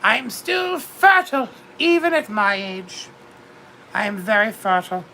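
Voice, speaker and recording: A woman's voice: mid-range voice, very animated, measured pace, vocal fry, bright, forceful tone, slight background noise, exaggerated voice. A woman voicing a vain widowed duchess in her fifties with a British accent. She chats theatrically with her viewers and keeps calling everyone darling. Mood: grand. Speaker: livestream host. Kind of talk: in-character livestream chat.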